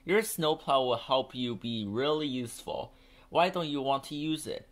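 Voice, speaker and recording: A man's voice: medium pitch, normal speed, close to an everyday voice. Man playing a kind, patient train driver. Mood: coaxing. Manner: gently coaxing.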